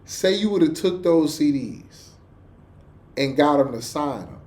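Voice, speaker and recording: A man's voice: a little high, expressive, takes it slowly, darkish tone. A man chatting casually in a slangy, conversational way as host of a reaction show.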